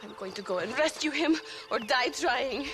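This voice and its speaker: A woman's voice: high-pitched, lively intonation, normal speed, music in the background. A woman playing a young Mexican peasant revolutionary in a video game, earnest, romantic and melodramatic, with a Mexican accent. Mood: fervent. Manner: melodramatic, fervent. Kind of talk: video game cutscene acting.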